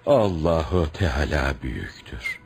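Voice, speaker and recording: An actor in a Turkish radio play as a stubborn, pious older village hodja and father, speaking emphatically and deliberately in proverbs. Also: a bass voice, animated, gravelly, slightly rough, faint music behind, exaggerated voice. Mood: firm, righteous. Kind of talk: Turkish radio theatre acting.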